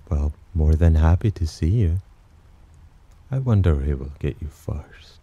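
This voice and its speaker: Casting: male actor as condescending demon for ASMR roleplay, extremely low and husky, menacing yet teasing. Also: muffled recording, full character voice. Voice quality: very deep, dark, husky. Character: haughty, teasing male demon, disdainful of humans, possessively protective. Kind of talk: ASMR roleplay, intimate scripted monologue.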